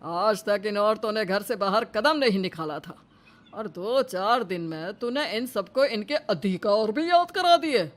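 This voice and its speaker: A man's voice: high voice, expressive, a little slow, a bit bright. An actor voicing a stern, indignant village household man in a moral-story cartoon, scolding in a declamatory way.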